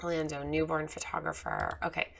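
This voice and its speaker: Woman a bit hoarse, bright lowish voice, chatty candid website review, lots of ums. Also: wildly swooping pitch, a little fast. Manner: candid, chatty, many fillers. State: slightly hoarse from lost voice. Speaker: photographer giving a website review. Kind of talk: casual screen-share critique.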